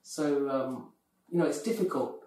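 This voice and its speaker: A man's voice: mid-range voice, unhurried, dark tone. A man from the UK co-hosting a podcast, speaking earnestly and telling anecdotes with many fillers. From UK, of Pakistani heritage. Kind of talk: earnest conversational chat. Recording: echoey room, distant from mic.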